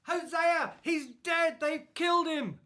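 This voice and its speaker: A woman voicing a distraught, excitable young male follower in a biblical radio drama. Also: very high voice, slow, heavily acted. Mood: panicked.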